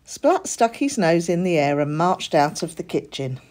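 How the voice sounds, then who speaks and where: A woman reading a children's story aloud in an expressive narrating style. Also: lowish voice, moderate pace. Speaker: storybook reader.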